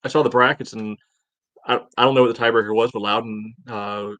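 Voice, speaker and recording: A man co-hosting a sports podcast, talking casually in a halting, conversational way. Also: medium pitch, fairly even intonation, fairly bright tone, no room sound, muffled recording. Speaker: podcast co-host.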